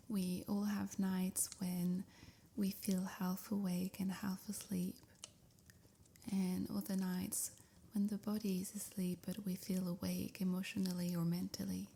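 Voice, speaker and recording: A woman softly guiding a sleep meditation in a breathy near-whisper, with soothing, measured phrasing. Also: medium pitch, a little hiss or hum. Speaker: meditation guide. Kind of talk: guided sleep meditation, scripted.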